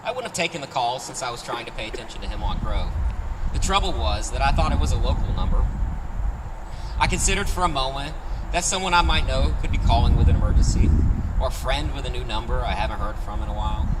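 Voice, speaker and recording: A man reads his own short story to a live gathering in a conversational narrative style. His voice is on the low-middle side of ordinary and comes from a distance, with long reverb. Noise runs clearly behind him. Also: expressive, fairly quick. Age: 30s. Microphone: handheld microphone, picked up from afar.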